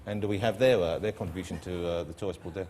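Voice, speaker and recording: A middle-aged man with a London accent, hosting a TV chat show and teasing his guest in quick, playful banter. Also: deep voice, darkish tone. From East London. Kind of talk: joking chat show interview. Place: TV studio with audience.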